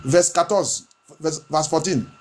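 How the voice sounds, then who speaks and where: High-pitched male pastor in fervent prayer. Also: slow pace, darkish tone. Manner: insistent, chanting repetition of phrases. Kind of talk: fervent charismatic prayer sermon, switching between French and English.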